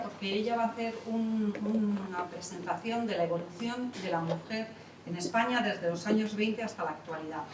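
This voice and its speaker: A woman's voice: mid-range voice, recorded from a distance, background noise. Woman introducing, echoey hall. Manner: chatty, with many fillers and self-corrections. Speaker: artistic director and moderator. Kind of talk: introducing a conference panel. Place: theatre hall.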